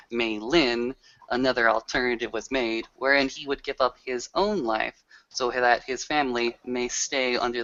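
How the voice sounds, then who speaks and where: A man with a bright, forceful voice takes part in a tabletop roleplay. His pitch is average and his phrasing formal and halting. The recording is slightly dull. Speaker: roleplaying game player.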